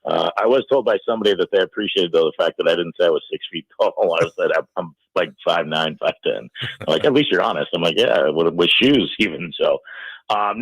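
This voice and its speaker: Deep-voiced middle-aged American man, dry studio sound. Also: no echo, poor audio. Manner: joking, self-deprecating. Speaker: radio play-by-play announcer, interview guest. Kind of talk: casual radio chat.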